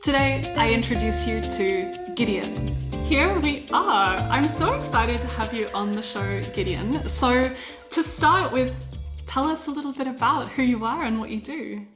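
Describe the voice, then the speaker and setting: High-voiced woman host in an echoey room. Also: animated, a little slow. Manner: curious, reflective, thinks aloud with fillers. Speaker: podcast host. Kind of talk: conversational interview. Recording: roomy echo with faint music.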